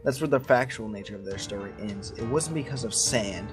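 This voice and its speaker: A man's voice: on the low side, a little fast, music in the background. A man reading a creepy story aloud to friends in an informal way. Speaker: story reader.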